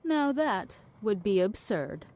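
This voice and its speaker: A woman playing a skeptical, needling philosophical character in an audio drama, measured and condescending in delivery. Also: mid-range voice, big pitch swings, darkish tone. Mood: dry, condescending. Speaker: actor.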